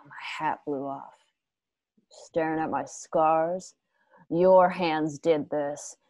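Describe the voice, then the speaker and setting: A woman's voice: deep voice, a little mellow. An actress playing a vengeful, scarred gunslinger woman in a Western radio play, with a folksy, profane, menacing manner. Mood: cold, taunting. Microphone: home video call.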